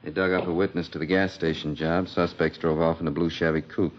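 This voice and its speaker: An American actor playing a terse, businesslike police officer in a 1950s radio drama. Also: male voice, low voice, level tone, a little fast, noisy background, natural voice. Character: male police officer passing on robbery details, laconic. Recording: muffled vintage radio recording.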